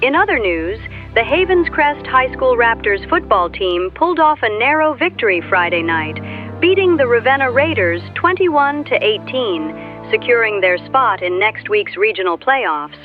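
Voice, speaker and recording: An actress playing an upbeat small-town local radio news anchor, with an American accent and polished chirpy delivery. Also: female voice, a little high, sing-song, normal speed. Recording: dull radio broadcast, music behind.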